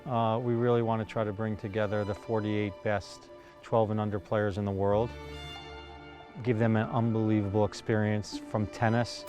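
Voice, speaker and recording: A man's voice: fairly low pitch, flat delivery, normal speed, a little mellow, soft background music. A man speaking in an interview as a tournament organiser, emphatic and repeating phrases to stress his points. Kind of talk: interview talk.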